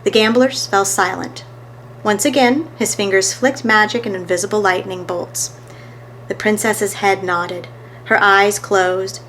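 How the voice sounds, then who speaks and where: Woman reading a kids story out loud, normal pitch, bit of noise behind. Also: steady tone, a little slow, poor audio. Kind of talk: scripted children's story narration.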